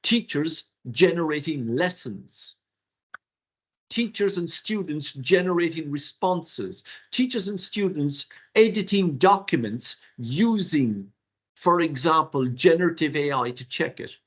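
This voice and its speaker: An older Irish man whose first language is Irish Gaelic gives a webinar workshop in an animated, rhetorical way, with theatrical asides, heard over a video call. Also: fairly high pitch, a little slow. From Ireland. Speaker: workshop presenter. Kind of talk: webinar lecture. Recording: dull lo-fi webinar audio.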